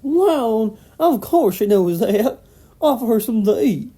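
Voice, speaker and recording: A woman's voice: fairly high pitch, expressive, a little slow, dark tone, slightly rough. A woman voicing a motherly old farm wife, fussing and hospitable, in a storybook reading. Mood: scolding.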